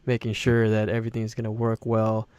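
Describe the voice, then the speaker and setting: A man's voice: fairly low pitch, monotone, brisk, a little mellow, clear, resonant tone, no room sound. A man hosting a podcast in casual interview chat, affirming his guest with enthusiasm. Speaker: podcast host.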